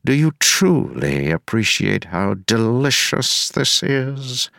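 A man voicing a smug, scheming male mayor who talks slowly and pompously, taunting his rival. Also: medium pitch, big pitch swings, vocal fry, a bit bright, no echo, clean studio sound, voice pushed up, full character voice. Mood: gloating.

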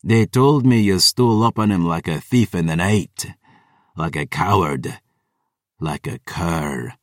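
A man's voice: deep voice, unhurried, studio quality. A man voicing a cold, proud, mocking undead warlord in an audiobook, with archaic, imperious phrasing. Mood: cold taunting. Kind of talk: dramatic audiobook fantasy dialogue. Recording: roomy echo, distant from mic.

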